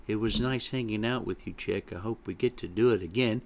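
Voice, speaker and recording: A man's voice: fairly low pitch, fairly quick, slight background noise, natural voice. Man doing a meek, earnest young boy chick. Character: young male chick, timid but earnestly defiant. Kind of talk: animated storybook character voice.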